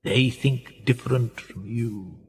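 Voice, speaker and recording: Guy playing posh british power broker in a radio play, smug and patronising, husky voice, big echoey hall. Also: mid-range voice, exaggerated voice. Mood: lecturing. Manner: patronising, measured, pompous. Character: smug, wealthy upper-class male power broker, condescending and manipulative. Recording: reverberant hall-like echo.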